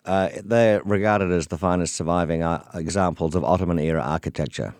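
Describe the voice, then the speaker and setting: A man guesting on a radio travel show, a tour leader explaining sites in a measured way. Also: low-pitched, darkish tone, no room sound, clean studio sound. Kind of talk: radio interview.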